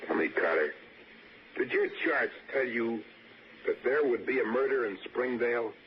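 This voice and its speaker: An actor playing a restless, secretly murderous drifter who tells his own story haltingly in a 1960s radio suspense drama. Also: medium pitch, lively intonation, muffled recording, lightly acted. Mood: probing. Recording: noisy, band-limited 1960s radio recording.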